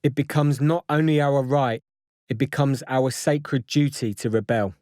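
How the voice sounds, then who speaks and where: A man reading a scripted activist declaration in a solemn, measured, formal way. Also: medium pitch, fairly even intonation, darkish tone, dry recording, studio quality. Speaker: activist reader.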